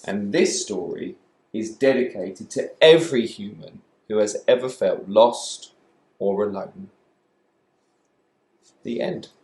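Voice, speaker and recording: A man reading a children's story aloud as a storyteller, with animated, dramatic emphasis. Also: lowish voice, slow, long pauses. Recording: echoey room.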